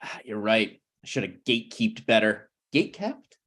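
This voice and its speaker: A man acts out a glib, self-serving friend in a comedy table read. His intonation is very lively. He talks hesitantly yet stays shamelessly unbothered. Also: lowish voice, normal speed. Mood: glibly pleading.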